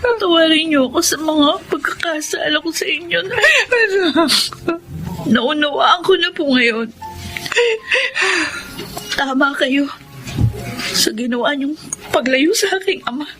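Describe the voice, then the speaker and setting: An actress playing an emotional, pleading young daughter in a Filipino radio drama. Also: female voice, high voice, unhurried, long pauses, a bit bright. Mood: tearful remorse. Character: repentant, tearful young daughter returning home to her mother. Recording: music behind.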